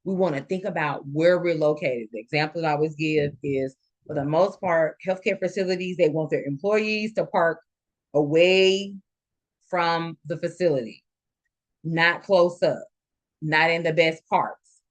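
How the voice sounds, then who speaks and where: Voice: mid-range voice, unhurried. Nursing instructor of unclear sex, middle-register voice, lecturing a live class informally and explaining with everyday examples. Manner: explanatory, illustrates points with quoted examples.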